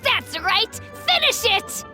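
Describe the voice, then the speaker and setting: A woman's voice: very high-pitched, very animated, slow, pushed and bright, faint music behind, exaggerated voice. A woman voicing an earnest, plucky little boy in a video game, childlike and eager. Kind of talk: animated video game voice acting.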